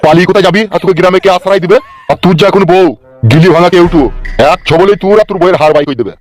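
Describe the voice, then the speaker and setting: A man's voice: sits high, steady tone, very fast, faint music behind, close to an everyday voice. A man voicing a teasing, resentful village friend in a comedy cartoon, taunting in a folksy way. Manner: taunting, quotes folksy rhymes.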